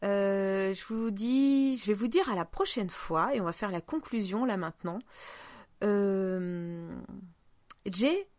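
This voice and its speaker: A woman's voice: mid-range voice, slow, darkish tone, no echo. Female podcast host, mid-range voice, playful informal chat, closely dry recording. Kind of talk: casual conversational podcast chat.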